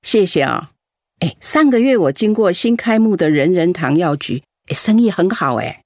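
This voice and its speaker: Gossipy neighbour, closely recorded. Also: female voice, on the low side, expressive, moderate pace, darkish tone, no echo, studio quality. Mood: chatty. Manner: gossipy, cajoling. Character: chatty neighbour woman, friendly, pushy with favours. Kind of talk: radio drama acting.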